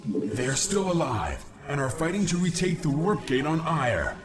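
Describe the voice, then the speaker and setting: An actor playing a young, noble, dutiful alien warrior in a video game, speaking formally like a military officer. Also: male voice, on the high side, sing-song, moderate pace. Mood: urgent. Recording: game music behind voice.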